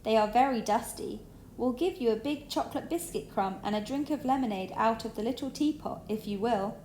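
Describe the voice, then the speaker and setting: A woman voicing a bossy, sharp-tongued female doll who lectures the others, in a children's story read aloud. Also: a little high, fairly quick, echoey room, slight background noise, close to an everyday voice. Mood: scheming.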